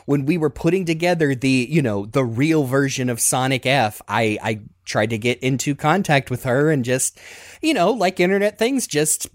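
A man chatting casually and enthusiastically as a host, rambling through memories and quick to laugh. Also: mid-range voice, dry recording, studio quality. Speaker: podcast host, fan audio play creator. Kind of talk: casual reminiscing chat.

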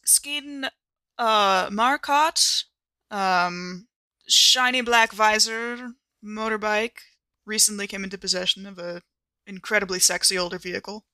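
Bright-voiced woman playing a tabletop game. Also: medium pitch, steady tone, unhurried, dry recording. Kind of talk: casual in-character improvised chat.

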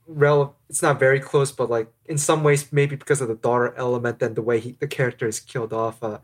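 Thirties male guest, mid-pitched, easygoing and hedging. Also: a little fast. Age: 30s. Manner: relaxed, hedging with fillers. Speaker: podcast guest. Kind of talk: casual podcast discussion.

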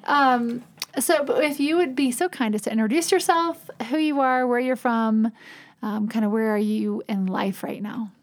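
A woman's voice: fairly high pitch, moderate pace. A woman hosting a casual podcast, chatty and teasing, often laughing as she talks. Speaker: podcast host and co-founder.

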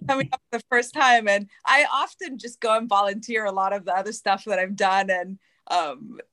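A woman's voice: mid-range voice, moderate pace, bright, forceful tone. A woman from Canada speaking thoughtfully as a panel guest, often restarting words. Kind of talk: casual panel discussion.

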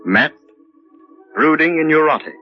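An actor playing the solemn, mysterious storyteller of a 1940s radio drama, narrating in a portentous, ornate manner. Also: male voice, fairly high pitch, animated. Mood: solemn, ominous. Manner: portentous, measured, ornate delivery. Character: solemn, mysterious male storyteller of eerie tales. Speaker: narrator and host. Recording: dull vintage radio recording with music.

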